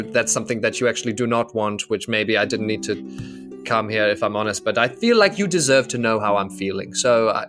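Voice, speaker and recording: A tender, nervous adventurer voiced by a man in a fantasy game. He speaks at a middle pitch, haltingly and apologetically, circling back on his words. Soft music sits behind him. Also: close to an everyday voice. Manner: halting, apologetic, repeats himself. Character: earnest, awkward, nervous male adventurer, tender-hearted.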